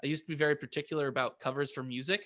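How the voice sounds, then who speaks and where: A man's voice: a little high, steady tone, very fast, sharp tone. A man co-hosting a podcast in casual chat, rambling and often interrupting his own sentences. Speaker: podcast co-host.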